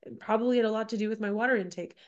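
A woman in her forties hosting a casual solo podcast while getting over a cold, so her voice sounds a little off. She talks in a chatty, loose way, tossing in "like" and "right?" and circling back to her points. Her pitch sits in an ordinary middle range. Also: racing, muffled recording. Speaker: podcast co-host.

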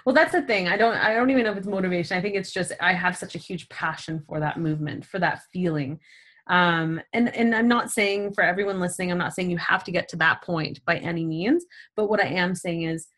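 Animated woman chatting on a wellness podcast. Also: lowish voice, brisk. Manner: animated, laughs often, many fillers like "like" and "um". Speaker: podcast co-host. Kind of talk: casual chat about stretching and wellness.

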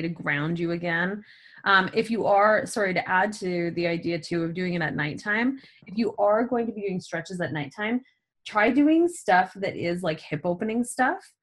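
A woman co-hosting a casual podcast about stretching and wellness, animated, laughing often and filling her talk with "like" and "um". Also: mid-range voice, normal speed. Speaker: podcast co-host.

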